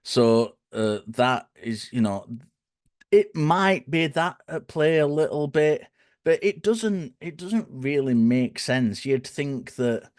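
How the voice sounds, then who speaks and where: A man with a croaky, dry voice, explaining his reasoning in a thoughtful way and often restarting his phrases. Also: a little high, lively intonation, slow pace, darkish tone, no room sound. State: hoarse, croaky. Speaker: guest speaker. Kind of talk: conversational explanation.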